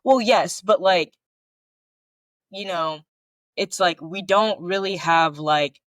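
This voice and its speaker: A man with a somewhat high voice, chatting casually as a podcast co-host. He talks in a loose, searching way, leaning on filler words as he thinks aloud. The sound is dry and close. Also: slow pace, fairly bright tone, no room sound, muffled recording. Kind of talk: casual conversational chat.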